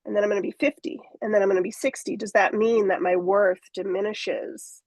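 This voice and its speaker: A woman in her forties talks in a reflective, halting way, full of fillers and restarts. The recording is close and dry, with little room sound. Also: mid-range voice, fairly even intonation, no echo. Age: 40s. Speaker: coaching client. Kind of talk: candid conversational interview.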